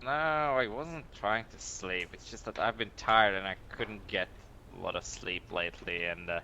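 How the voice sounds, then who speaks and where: A man's voice: lowish voice, moderate pace, bright, forceful tone, lo-fi sound, a little hiss or hum. A man roleplaying a tipsy, evasive drink-driving suspect in an online game, rambling, hesitant and protesting.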